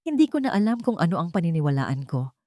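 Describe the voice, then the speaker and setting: A woman's voice: on the low side, big pitch swings, fast talker, mellow, no room sound, clean studio sound. A woman voicing a weary, stern, disbelieving husband in a melodrama, with a cold manner. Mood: weary, exasperated.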